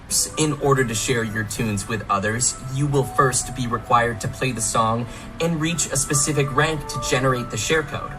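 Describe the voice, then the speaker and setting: A man presenting scripted game announcements in an explanatory, measured way. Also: mid-range voice, low-quality recording. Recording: music playing behind the voice.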